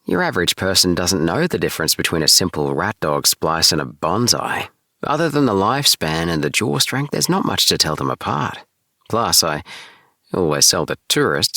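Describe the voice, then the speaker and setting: A man's voice: sits low, expressive, moderate pace, gravelly, sharp tone, no room sound, clean studio sound, heavily acted. A man reading an audiobook, voicing a breezy, teasing young woman with a lifted, playful voice.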